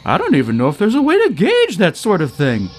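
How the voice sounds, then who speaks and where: A man voicing a brisk, matter-of-fact female inspector in an audio story. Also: high voice, wildly swooping pitch, soft background music, pitched above the performer's own voice. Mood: clinical, blunt.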